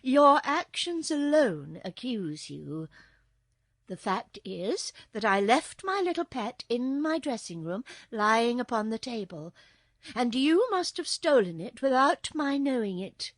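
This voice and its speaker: A woman voicing a dignified young princess in a storybook, gentle but indignant, with a formal, measured manner. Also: on the high side, big pitch swings, no echo, pitched above the performer's own voice, exaggerated voice. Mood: accusing. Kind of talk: storybook character voice.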